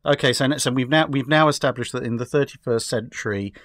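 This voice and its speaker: A man's voice: mid-range voice, quick pace, fairly bright tone, no echo, studio quality. A man chatting casually as a guest on a fan discussion show, building long, jokey speculative explanations with stumbling starts.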